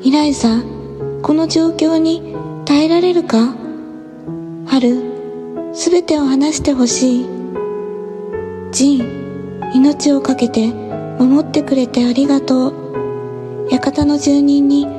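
A dramatic trailer narrator, voiced by a woman, who speaks slowly and deliberately in a high voice. She weighs each phrase like an announcement. A loud music bed plays behind her. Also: fairly even intonation. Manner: measured, dramatic.

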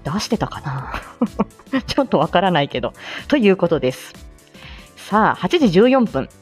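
Woman host, lively swinging intonation, chatty and laugh-prone, casual live morning chat, faint music underneath. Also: fairly low pitch, normal speed, slightly rough. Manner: chatty, laughs often while talking. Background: background music.

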